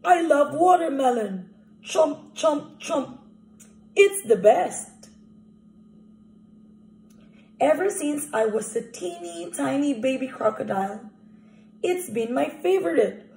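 A woman voicing an excitable, fretful crocodile from a picture book, with dramatic, drawn-out exclamations. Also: high voice, gravelly, exaggerated voice. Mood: delighted. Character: excitable, fretful watermelon-loving young male crocodile. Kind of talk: picture-book character reading. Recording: echoey room recording.

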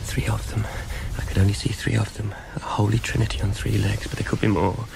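A man's voice: on the low side, level tone, brisk, gravelly, very noisy. An actor in a radio drama playing a fearful, unhinged religious man in hiding, muttering, repeating himself and preaching in a pleading way. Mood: hushed, secretive. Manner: muttering, repetitive, sing-song preaching between pleading and whispers.

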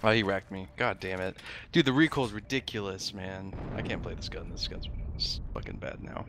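A man's voice: sits low, moderate pace. A man in casual gaming chat, grumbling and swearing as he plays in a squad. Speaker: gamer, squad member. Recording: clearly noisy, game gunfire and engines. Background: game gunfire and vehicles.

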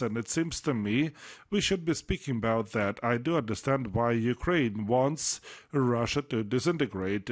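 A man interpreting a formal speech live, delivering it in halting phrases with frequent hesitations. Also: mid-range voice. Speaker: simultaneous interpreter.

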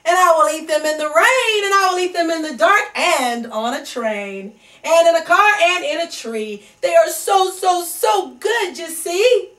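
Middle-aged woman, toddler read-aloud, slow pace, exaggerated sing-song, distant mic in a roomy space. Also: very high-pitched, expressive, a bit bright. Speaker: children's storyteller. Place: indoor living room. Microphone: camera microphone at a distance. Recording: echoey room, distant microphone.

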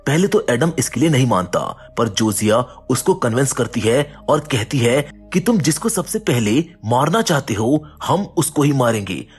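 A man's voice: medium pitch, very animated, moderate pace, hardly any pauses. A man narrating a movie's plot as a scripted voice-over. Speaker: film recap narrator.

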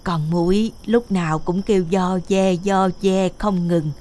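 A woman's voice: lowish voice, steady tone, a little slow, warm tone. A woman reading scripted fairy-tale narration as a storyteller. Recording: music behind the voice. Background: music.

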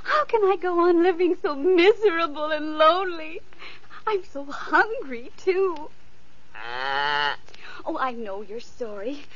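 A woman's voice: very high-pitched, lively intonation, slow pace, long pauses, exaggerated voice. A woman playing a poor, lonely young girl in an old radio play, pleading and sweet, on a dull lo-fi recording. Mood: tearful. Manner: pleading, tearful. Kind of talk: 1950s radio acting.

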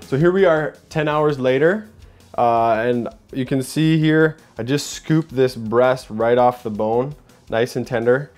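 A man's voice: a little slow, a little mellow, soft background music. Upbeat young man hosting a home cooking demo. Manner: upbeat, chatty, says "boom" while working. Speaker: cooking show host. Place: home kitchen, indoors.

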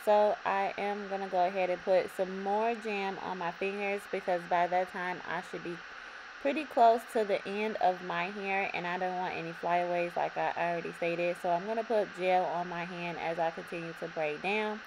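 A young woman with a slightly raspy voice, calmly talking viewers through a hair braiding tutorial in her bedroom. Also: fairly low pitch, moderate pace. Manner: relaxed, repetitive instructions addressing viewers. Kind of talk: step-by-step tutorial narration. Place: bedroom, indoors. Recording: audible background noise.

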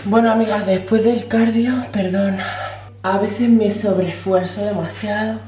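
A woman's voice: mid-range voice, fairly even intonation, measured pace, warm tone, echoey room, soft background music. A young woman in her twenties filming an ironic, self-mocking parody vlog on a handheld camera at arm's length in her small apartment. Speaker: vlogger. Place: small apartment kitchen and bedroom.

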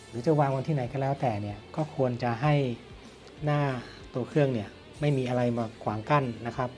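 A man's voice: mid-range voice, dark tone. A man presents an audio product review in an explanatory way, posing questions and answering them himself. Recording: music playing behind the voice. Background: background music.

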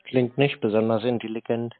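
A man's voice: medium pitch, steady tone, a little fast, dry recording. A man answering in short, dry, skeptical remarks in a casual, confrontational phone chat. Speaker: scam-baiter on the call. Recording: thin, narrow-band phone sound.

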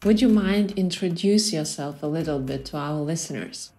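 A woman's voice: low-pitched, normal speed, a little mellow. A woman hosting a podcast, welcoming her guest in an upbeat, friendly way and asking interview questions. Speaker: podcast host. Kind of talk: scripted podcast intro and questions.

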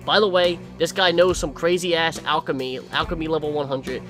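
A young man commentating on a horror game in a jokey, excitable way. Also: fairly high pitch, a little fast. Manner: jokey, excitable, swears and shouts. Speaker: gameplay commentator. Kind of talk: gameplay commentary. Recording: game music behind voice.

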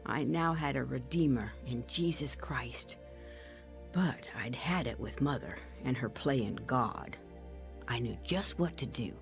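A woman's voice: very deep voice, measured pace, creaky, exaggerated voice. A woman playing a grown woman reflectively and frankly telling her life story as radio drama narration. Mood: resolute. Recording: music under the voice.

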